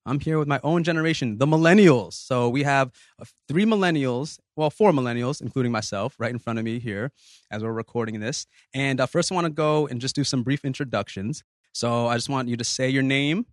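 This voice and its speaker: A man in his thirties hosting a podcast, leading a casual group discussion in a relaxed, rambling way with many fillers. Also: mid-range voice, dry recording, clean studio sound. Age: 30s. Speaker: podcast host.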